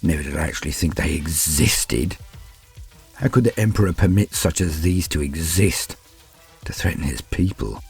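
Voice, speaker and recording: A man's voice: rumbling low, gravelly, darkish tone, slightly rough, soft background music, voice pushed down, heavily acted. A man playing a fearful, despairing human soldier, telling his story in grave, theatrical first-person narration. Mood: bleak despair. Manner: grave, theatrical, deliberate phrasing.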